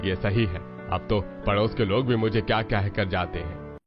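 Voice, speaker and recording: A man's voice: lowish voice, moderate pace, lightly acted. A man voicing a weary, grumbling older father-in-law in a cartoon. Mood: aggrieved. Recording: dull lo-fi sound under clear music.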